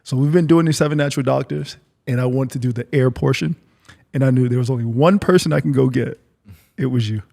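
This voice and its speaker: A man's voice: mid-range voice, quick pace, gravelly, mellow. A man hosting a podcast in a casual, conversational interview style. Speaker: podcast host.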